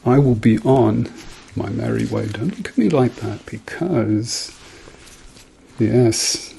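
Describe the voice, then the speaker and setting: Middle aged guy doing asmr doctor roleplay, slow n creaky, reassuring, bit of noise and clicks behind him. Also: medium pitch, big pitch swings, dark tone. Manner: reassuring, murmured repeated encouragement. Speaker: ASMR role-play performer playing a doctor. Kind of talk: ASMR medical role-play, softly spoken.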